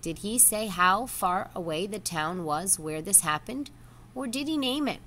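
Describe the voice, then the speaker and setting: A woman's voice: mid-range voice, lively intonation, bright, forceful tone, a little hiss or hum. Woman voicing a formal, grave rat leader. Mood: questioning. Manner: formal, measured, questioning.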